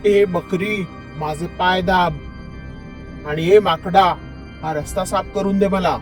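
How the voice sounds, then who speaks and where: A man's voice: high-pitched, fairly even intonation, slow pace, soft background music. A man voicing an arrogant bullying wolf in a children's cartoon story, boastful, commanding and threatening.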